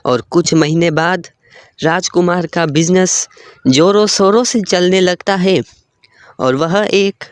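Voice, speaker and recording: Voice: mid-range voice, dry recording, studio quality. A storyteller reading a scripted moral story as narrator.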